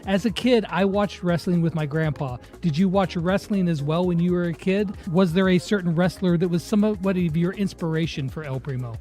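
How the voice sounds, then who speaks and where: Man, interview host, high voice, casual chat, slangy, enthusiastic, music behind. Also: a little fast, hardly any pauses, a little mellow.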